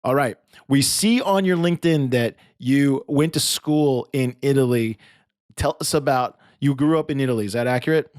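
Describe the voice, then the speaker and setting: A man's voice: medium pitch, normal speed, darkish tone, no room sound, clean studio sound. A man hosting a podcast interview in a casual, chatty, effusive and informal way. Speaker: podcast host.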